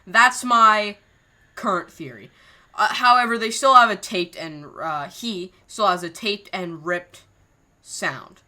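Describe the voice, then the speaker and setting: Woman, channel host, casual rambling commentary, slangy, forceful bright tone. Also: medium pitch, slow pace. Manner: rambling, chatty, with slang exclamations. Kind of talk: casual commentary on scrapped voice lines.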